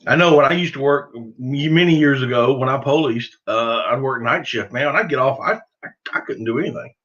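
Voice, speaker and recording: Bright-voiced Southern US man, casual and folksy. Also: medium pitch, fairly quick, highs cut off. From southern United States. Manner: rambling, folksy, self-deprecating. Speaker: livestream host of a duck hunting channel. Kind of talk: casual livestream chat, answering viewers.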